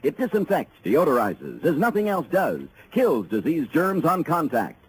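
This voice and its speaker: A man's voice: a little high, huge pitch swings, normal speed. A man announcing a scripted 1950s radio commercial. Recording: slightly noisy old radio recording.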